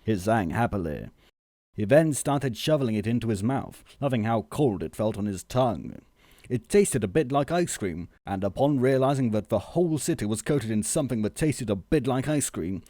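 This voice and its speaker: A man reading a scripted comic story as narrator, measured and deadpan. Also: on the low side, dry recording, clean studio sound.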